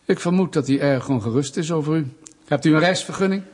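An actor playing a patronizing, sardonic Soviet official in a radio drama, mock-polite and bullying. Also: male voice, a little high, quick pace, a little mellow, slight background noise, natural voice. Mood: condescending, mocking. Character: menacing, condescending male Soviet state official, bureaucratic bully.

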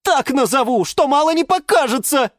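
Children's story geranium, played by a woman: high, shrill, quarrelsome diva with big dreams, indignant, very dry close sound. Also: expressive, normal speed, dry recording. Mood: furious. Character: excitable, quarrelsome female geranium dreaming of modelling.

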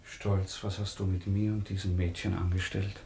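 A low male voice belongs to a proud young nobleman tormented by guilt. He speaks in lyrical, fervent declamation, every phrase shaped as theatrical radio drama. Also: little pitch movement, normal speed, warm tone. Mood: anguished, lyrical.

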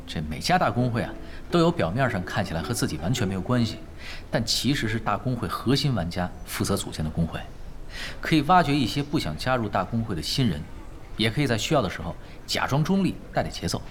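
A man's voice: on the low side, sing-song, quick pace, slight background noise. A man playing an easygoing, cheeky young gamer in a Chinese audio drama, speaking in a nonchalant, teasing way. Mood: relaxed explaining. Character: young male gamer tank player, easygoing, cheeky, unbothered.

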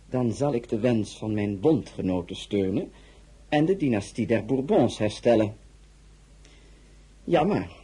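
An actor playing a suave, imperious tsar in a radio drama, speaking formally and in measured phrases with a husky voice. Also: male voice, fairly low pitch, dark tone, full character voice, low-quality recording. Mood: resigned. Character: suave, imperious Tsar of Russia, courtly and probing.

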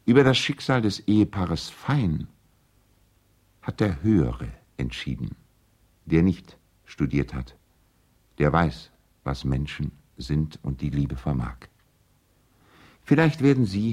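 An actor playing a courteous, idealistic male lawyer in a radio play, earnest and gently ironic, speaking in a formal, measured, eloquent way. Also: rumbling low, lively intonation, breathy edge, exaggerated voice. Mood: earnest idealism. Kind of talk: radio drama letter monologue.

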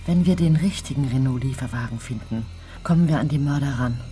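A determined woman sleuth in a radio crime drama. She is resolute and matter-of-fact, in a dark, low voice with faint music behind it. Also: a little fast.

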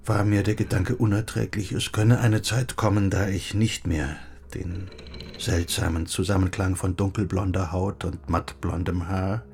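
An actor playing a middle-aged, bookish recluse in a radio play, speaking in a reflective, lyrical and measured way. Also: male voice, deep voice, gravelly, darkish tone. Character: wistful, gently philosophizing bookish recluse husband. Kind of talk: German radio play acting.

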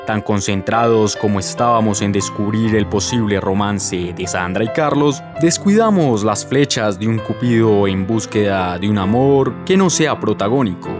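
A man plays a wry, self-important narrator in a radio soap. His fairly low voice is theatrical and deliberate, with guitar music clearly behind it. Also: lively intonation, natural voice. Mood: wry recap. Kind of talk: scripted dramatic narration.

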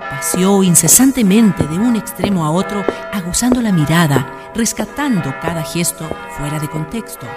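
A woman narrating a historical radio drama in a measured, literary, solemn manner. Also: on the low side, animated. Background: background music.

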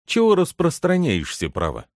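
A commanding head butler performed by a man reading a story questions and orders servants about. He is brusque and condescending, with very lively intonation. The recording is dry and close. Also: a little high, normal speed, no echo, pitched above the performer's own voice. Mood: impatient. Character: impatient, bossy male head butler, scheming and condescending. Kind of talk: dramatic literary audiobook character reading.

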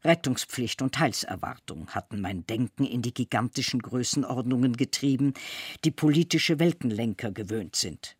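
A reader giving a slow, measured literary reading with dramatic pauses. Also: medium pitch, animated, husky, no room sound, clean studio sound.

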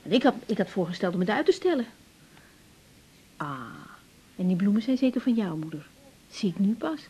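A woman's voice: mid-range voice, big pitch swings, brisk, dark tone, breathy edge. An actress playing a busy, reassuring young woman doctor in a naturalistic radio drama. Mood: reassuring, evasive. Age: young adult. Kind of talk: naturalistic 1980s Dutch radio drama acting. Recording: slightly dull 1980s radio recording.

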